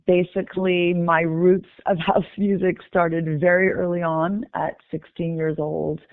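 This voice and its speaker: A woman in her fifties from Canada, a DJ guest speaking graciously and in a measured way in an interview. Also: on the low side, flat delivery, no echo. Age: 50s. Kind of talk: interview conversation. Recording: dry, tinny phone-like line.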